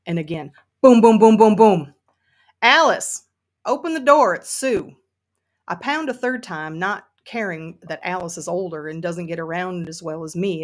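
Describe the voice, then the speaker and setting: A woman's voice: lowish voice, natural voice. A woman playing a seething middle-aged Southern woman, reading first-person fiction with sardonic emphasis and a Southern US accent. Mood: furious, pounding. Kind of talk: dramatic first-person audiobook-style reading.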